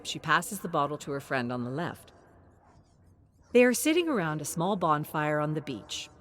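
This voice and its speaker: A woman reading scripted story narration in an expressive, dramatic storytelling manner. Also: fairly low pitch, slight background noise.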